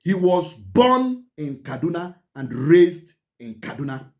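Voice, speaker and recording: A Nigerian man with a fairly high voice talks through history in an emphatic, lecturing way. He hammers on his points by repeating them and tells his listeners to pay attention. Igbo phrases slip into his English now and then. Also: big pitch swings, slow. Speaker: channel host. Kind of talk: history commentary to camera.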